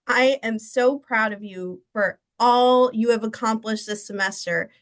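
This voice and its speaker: A woman's voice: on the high side, measured pace. A woman presenter gives emphatic, encouraging praise and introduces the next speakers. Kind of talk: upbeat spoken praise and introductions.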